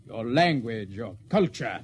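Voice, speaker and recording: An actor playing a cool, haughty alien spy posing as a ship's officer, formal and measured, in a 1950s radio drama. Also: male voice, medium pitch, expressive, natural voice. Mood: cool, superior. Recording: noisy 1950s radio broadcast recording.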